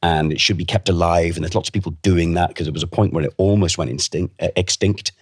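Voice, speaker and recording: Low husky man. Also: no echo, clean studio sound. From Wales. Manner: chatty, self-deprecating, halting restarts. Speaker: guest voice actor. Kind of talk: casual interview chat.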